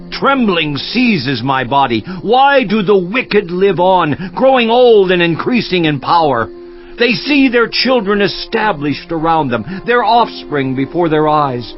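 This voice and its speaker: A man playing an anguished, bitter, defiant sufferer of ancient scripture in an impassioned, declamatory dramatized reading. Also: fairly high pitch. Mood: wounded, appalled, then indignant, bitter. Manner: impassioned, declamatory, rhetorical questioning. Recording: dull, muffled audio with music behind.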